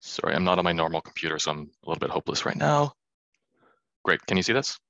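Guy in his 30s giving a zoom lecture, real deep voice, bright and fast, lots of ums. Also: very animated, gravelly, dry recording, muffled recording. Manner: quick, self-deprecating, many fillers. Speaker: conference speaker. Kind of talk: academic presentation. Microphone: video call.

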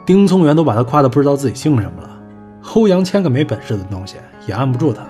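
A man's voice: medium pitch, very animated, quick pace, warm tone, soft background music, close to an everyday voice. An actor playing a cynical male talent manager in an audio drama, blunt, sarcastic and scornful. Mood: mocking. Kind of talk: Chinese audio drama acting.

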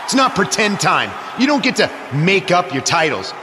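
A man's voice: sits high, very animated, moderate pace, a crowd in the background, background noise. A man playing an arrogant, indignant wrestling champion in a video game, sneering and theatrical in his promo. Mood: scornful, angry. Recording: echoing arena reverb.

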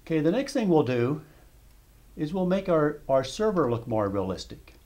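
A man narrating a step-by-step software tutorial over screen footage, explaining calmly with small restarts. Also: on the high side, moderate pace. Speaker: software demonstrator.

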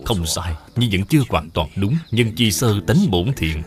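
A man acts a grave cartoon father with a low voice. He lectures like a moral teacher, measured and authoritative, with music underneath. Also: expressive. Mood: grave, teaching. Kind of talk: dubbed educational cartoon acting.

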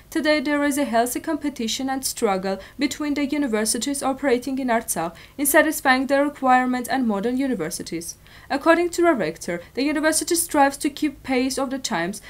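A young woman with an Armenian accent reading scripted news in a formal, stilted way. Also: high-pitched. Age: young adult. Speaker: news presenter.

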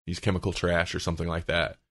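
Very low-voiced man chatting casually on a podcast. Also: monotone, quick pace, a bit bright, husky, no echo, clean studio sound. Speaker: podcast host.

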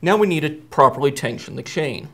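A man's voice: fairly high pitch, lively intonation, fairly quick, fairly bright tone. A man in his thirties, a repair technician, explaining a hands-on job step by step in a plain, methodical way. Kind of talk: step-by-step how-to demonstration. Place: indoor workshop at a workbench.

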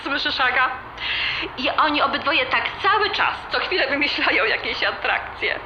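A woman's voice: sits high, animated, normal speed, muffled recording. An actress playing a cheerful, slightly flustered middle-aged mother in a radio drama, chatty and affectionate. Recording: echoey, noisy room sound.

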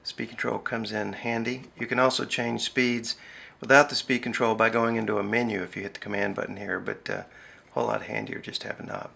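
A middle-aged man with a gravelly voice giving a casual, halting hands-on demonstration at an indoor desk. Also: on the low side. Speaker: amateur radio hobbyist demonstrating gear. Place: small indoor radio desk. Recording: steady noisy background hiss and ambience.

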